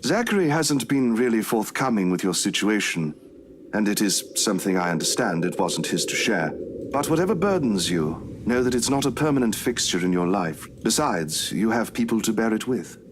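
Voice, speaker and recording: Kindly game priest, lowish voice, measured and consoling delivery, slight background noise. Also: male voice, big pitch swings. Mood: gentle, earnest. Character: kindly priest counseling a troubled young woman. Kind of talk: visual novel voice acting.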